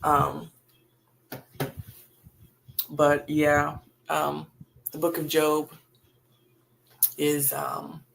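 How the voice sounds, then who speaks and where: A woman with a raspy voice talking casually and reflectively on a livestream while grieving, in halting phrases that sometimes trail off. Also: sits low, completely flat delivery, fairly bright tone, slight background noise. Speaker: livestream host. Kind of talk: casual, reflective livestream talk about faith and grief.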